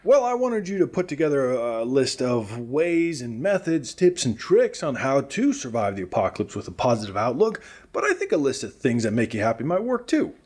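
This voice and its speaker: A man's voice: mid-range voice, sing-song, fairly quick, few pauses, a little mellow. A man playing a cheerful post-apocalyptic radio host in a comedic audio drama, earnest and encouraging. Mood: encouraging, patient. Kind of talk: scripted comedic radio show hosting.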